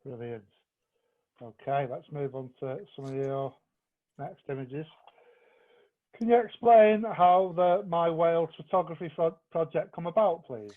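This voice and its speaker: A man interviewing artists, friendly and informal, pitched a little high. His voice sounds thin and tinny, like it's coming through a phone line on a video call. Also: measured pace. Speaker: interviewer and arts officer. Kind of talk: hosting an online artist conversation.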